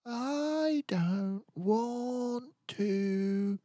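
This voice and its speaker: High, whiny little badger cub. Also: male voice, dark tone, echoey room, long reverb tail, full character voice. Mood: reluctant, whiny. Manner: drawn-out pleading. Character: small whiny reluctant badger cub boy.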